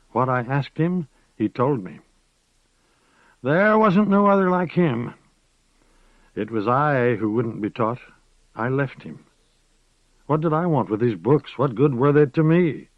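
A man's voice: medium pitch, dry recording, pitched above the performer's own voice. A man reading an audiobook, voicing an old rustic village witch woman who rambles folksily, calls people dearie and slips into chanting. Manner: rocking, chanting incantation. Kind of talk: audiobook character voice. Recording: dull, dry lo-fi recording.

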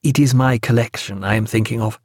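A man's voice: mid-range voice, brisk, no room sound, studio quality, close to an everyday voice. Man playing a gloomy, fussy ship's doctor. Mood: anxious, fussy.

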